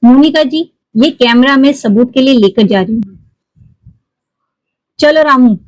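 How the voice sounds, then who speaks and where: Commanding female officer for a cartoon, voiced by a woman; high, brisk, official. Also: darkish tone, dry recording, low-quality recording.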